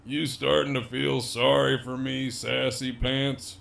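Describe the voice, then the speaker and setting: A man acts a middle-aged rogue with heavy gravel in his throat. He talks in an unhurried, sardonic drawl, profane and teasing, as a cocky captive villain in an audio drama. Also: medium pitch, level tone, a bit bright, full character voice. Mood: wry, teasing. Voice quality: gravelly, creaky.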